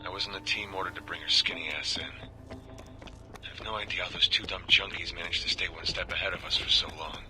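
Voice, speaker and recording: An actor playing a world-weary, remorseful soldier in a game audio log, speaking in a breathy half-whisper with a blunt, brooding, confessional manner. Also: male voice, sits low, fairly even intonation, brisk, music in the background, natural voice, poor audio. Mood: grim, regretful. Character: world-weary, remorseful male soldier recounting past captures.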